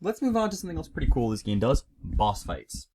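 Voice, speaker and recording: A man's voice: mid-range voice, huge pitch swings, a little fast, warm tone, slight background noise. A man giving casual, informal and opinionated let's play commentary as the host of a gaming video.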